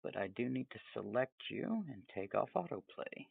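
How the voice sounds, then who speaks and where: A man giving a casual, off-the-cuff software tutorial, rambling along with chatty, self-amused asides. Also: medium pitch, big pitch swings, moderate pace, creaky, breathy edge, no echo, narrow, tinny audio. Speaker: tutorial presenter.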